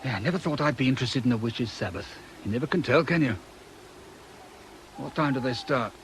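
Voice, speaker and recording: An actor playing a down-to-earth police inspector in a radio drama, dry and sardonic, with a British accent. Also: male voice, medium pitch, lively intonation, fairly quick, a bit bright, a little hiss or hum, lightly acted. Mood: wry.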